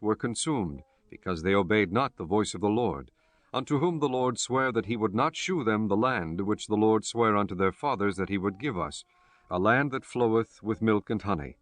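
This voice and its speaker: A man narrating scripture for an audiobook in a solemn, measured and formal reading style. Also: lowish voice, no echo.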